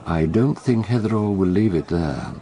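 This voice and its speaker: An actor playing a shrewd, wry armchair detective in a radio drama, explaining crimes in a measured, knowing, dry manner. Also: male voice, deep voice, lively intonation, mellow. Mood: wry, grim.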